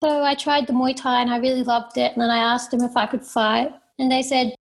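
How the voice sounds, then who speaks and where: A young Australian woman talking about her life in plain, halting answers as an interview guest. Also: fairly high pitch, flat delivery. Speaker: guest, professional fighter.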